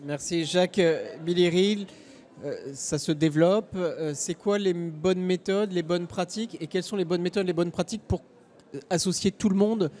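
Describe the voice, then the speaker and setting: A man's voice: sits high, normal speed, darkish tone. A man moderating a live panel debate, putting questions to the speakers in an unscripted way.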